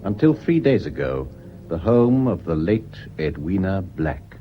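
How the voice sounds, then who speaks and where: A man setting the scene as narrator of a period radio drama in a measured, scripted delivery. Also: deep voice, big pitch swings. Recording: dull old radio recording. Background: music.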